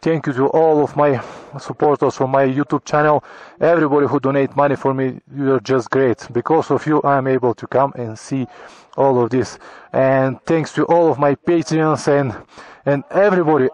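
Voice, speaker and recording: A man's voice: mid-range voice, fairly even intonation, poor audio. Bulgarian man vlogging on a mountain pass. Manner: warm, grateful, halting non-native English with restarts. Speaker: motorcycle travel vlogger. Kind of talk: casual travel vlog talk to camera. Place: high mountain pass outdoors. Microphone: action camera on helmet or in hand.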